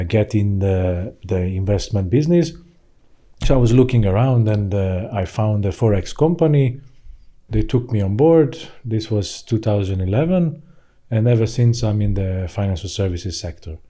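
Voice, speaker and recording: Male, Hungarian accent, very mellow dark tone, lowish pitch, podcast guest, conversational storytelling. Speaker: podcast guest, financial services professional. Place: podcast studio.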